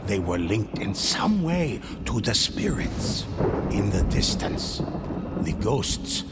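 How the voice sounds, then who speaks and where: A man narrating a dark game story in a grave, measured way. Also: lowish voice, sing-song, very noisy. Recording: music under the voice.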